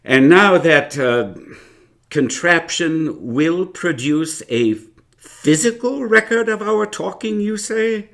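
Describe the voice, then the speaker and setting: A man's voice: fairly high pitch, takes it slowly, creaky, a bit bright, full character voice. An actor playing a wry, reflective elderly uncle recounting his youth in a radio drama, in wordy old-fashioned phrases. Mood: wry, teasing. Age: older. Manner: hesitant, chuckling, old-fashioned wordy storytelling. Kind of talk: live radio drama acting.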